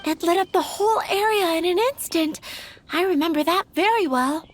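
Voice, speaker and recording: A woman's voice: extremely high pitch, moderate pace, slight background noise. A woman playing a young, smitten, earnest townswoman in a video game, speaking wistfully. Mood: wistful, musing.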